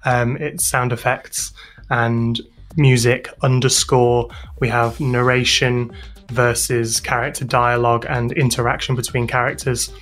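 A man's voice: mid-range voice, level tone, unhurried, fairly bright tone, faint music behind. A British man giving thoughtful, slightly hesitant explanatory answers as an interview guest. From United Kingdom. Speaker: guest, podcast sound designer and composer.

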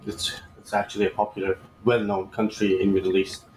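A man in his thirties from Iran, a surgeon answering interview questions in a hesitant way with many pauses and fillers. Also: on the low side, level tone, roomy sound, a little hiss or hum, rough recording. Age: 30s. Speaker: guest surgeon.

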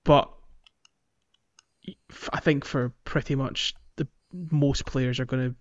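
A man's voice: mid-range voice, steady tone, slow pace. A man co-hosting a podcast in a casual chat about gaming, on a dry, slightly dull recording. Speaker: podcast co-host.

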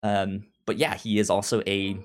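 A man co-hosting a casual discussion podcast, talking haltingly and often repeating sounds and words as he goes. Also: on the low side, little pitch movement. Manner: halting, frequent stammered repetition of sounds and words. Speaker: podcast co-host. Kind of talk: casual book discussion chat.

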